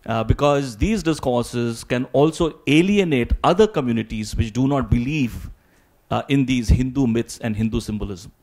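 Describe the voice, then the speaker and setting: A man speaking as a symposium panelist, answering in a halting, deliberate academic way and often repeating words. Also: mid-range voice, darkish tone.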